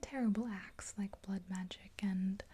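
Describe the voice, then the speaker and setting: A woman in her twenties whispering a gentle ASMR roleplay in a breathy, unhurried, hesitant voice, close to the mic. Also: mid-range voice, fairly even intonation. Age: 20s. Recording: hissy close recording with clicks.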